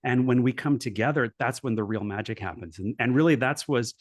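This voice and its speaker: A man's voice: medium pitch, fairly quick, dry recording, clean studio sound. A middle-aged man from Los Angeles, a guest on an interview show, telling his life story in an earnest, reflective way with many restarts. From Los Angeles, USA. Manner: earnest, reflective, with frequent restarts and "you know". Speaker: guest, coach and former professor.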